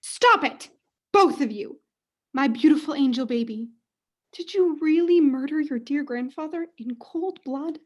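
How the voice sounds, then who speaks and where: A woman's voice: sits high, animated, unhurried. A young woman acting in a radio play as a doting, haughty, oblivious mother with a gushing, melodramatic manner. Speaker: student actor in radio play.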